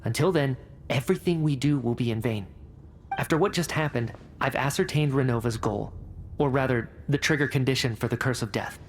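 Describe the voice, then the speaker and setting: An actor playing a brisk, coolly rational scientist in a video game, articulate and clinical as he reports. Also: mid-range voice, slight background noise, close to an everyday voice. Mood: clinical, grave. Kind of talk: video game cutscene acting.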